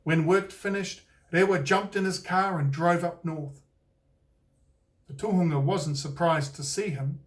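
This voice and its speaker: A man with a New Zealand accent reads a picture book aloud with an unhurried, expressive delivery. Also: a little high, distant mic. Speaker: author and storyteller. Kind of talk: scripted picture-book narration.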